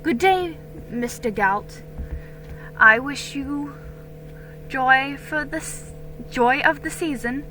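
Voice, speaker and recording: A woman voicing a kindly, brisk colonial mother, cheerful and politely old-fashioned, in a storybook reading. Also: high voice, sharp tone, faint music behind, full character voice, rough recording. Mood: warm, cheerful.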